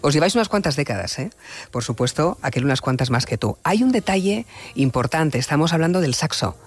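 A man hosting a late-night radio interview, speaking in a deliberate, musing way with rhetorical flourishes. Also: on the high side, expressive, slightly rough. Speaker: radio host interviewing a musician. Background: music playing under the talk.

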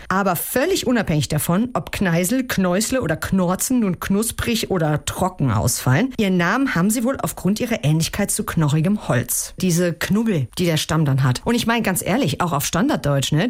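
A woman linguist giving a clear, enthusiastic explanation. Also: on the low side. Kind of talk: expert explanation.

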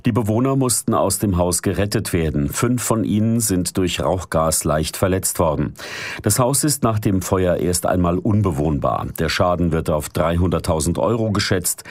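A man's voice: deep voice, animated, moderate pace, darkish tone, no echo. A man reporting for radio news, giving the facts steadily.

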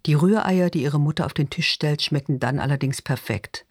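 A man's voice: on the high side, normal speed, no room sound. Man reading a novel, dry close sound. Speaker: narrator reading literary fiction. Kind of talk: scripted narration, audiobook reading.

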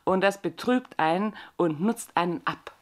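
A woman from Bavaria talks in a measured, reflective way, pausing often to find her words. Her voice sits a little low. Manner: deliberate, with frequent "äh" and "nicht wahr". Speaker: interview guest. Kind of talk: thoughtful conversational talk.

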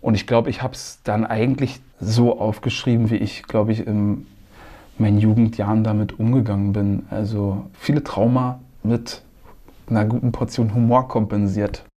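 A man's voice: on the low side, little pitch movement, normal speed, dark tone. A man from East Germany, an author, talking frankly and thoughtfully in an interview, sometimes searching for his words. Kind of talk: reflective interview talk.

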